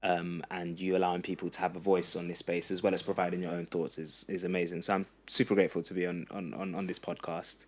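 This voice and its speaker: A young man from Egypt, a podcast guest, talking earnestly in a halting way with many fillers and restarts. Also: low voice, little pitch movement. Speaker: podcast guest, running content creator. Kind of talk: casual interview chat.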